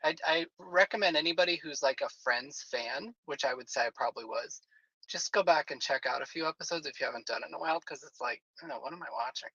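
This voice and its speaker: Bright-voiced man in his forties, lo-fi. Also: fairly high pitch, fast, slightly rough, no room sound. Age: 40s. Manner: rambling, many "like" fillers. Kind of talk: casual chat.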